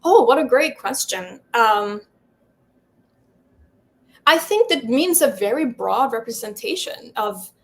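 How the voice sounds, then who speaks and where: A woman's voice: a little high, sing-song, slow pace, long pauses. A middle-aged woman from Sweden, a Swedish native speaker and film festival founder, answers interview questions in a thoughtful way, with many fillers and restarts. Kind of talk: interview guest.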